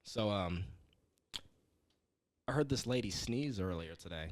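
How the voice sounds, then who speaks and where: A man's voice: fairly low pitch, animated, unhurried, no room sound. A young adult man co-hosting a casual comedy podcast, swearing often, stumbling over his words and poking fun at himself. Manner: profane, stammering, self-deprecating. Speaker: podcast co-host.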